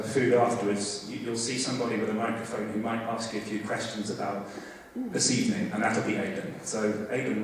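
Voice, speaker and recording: Man hosting an academic lecture, halting, lots of ums, recorded from across a big echoey hall. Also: on the low side, far from the mic, slight background noise. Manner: hesitant, many fillers and restarts; loud voice. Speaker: host introducing a guest lecture. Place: large hall.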